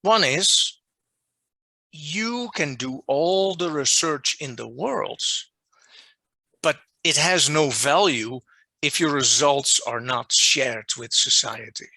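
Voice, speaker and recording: Dutch male lecturer, non-native English, slow and deliberate, keen bright tone, dry call mic. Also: on the high side, gravelly, no echo. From Netherlands. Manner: deliberate, restates his points. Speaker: journal editor presenting a workshop. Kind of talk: online lecture. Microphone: video call microphone.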